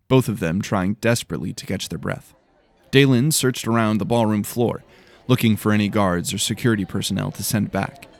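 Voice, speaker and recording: A man's voice: on the low side, vocal fry, a little mellow, dry recording. A man narrating a scripted fantasy story in a dramatic storytelling style.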